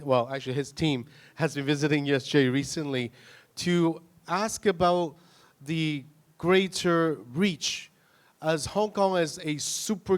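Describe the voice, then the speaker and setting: A man moderating a panel discussion, putting questions to guests in a halting, polite way. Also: a little high.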